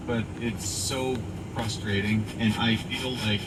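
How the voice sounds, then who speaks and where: An American man co-hosting sports talk radio, debating in a halting but insistent way. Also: lowish voice, fairly even intonation. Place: played over truck radio, picked up by dash camera. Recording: radio heard distantly inside noisy truck cab. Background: loud vehicle road noise.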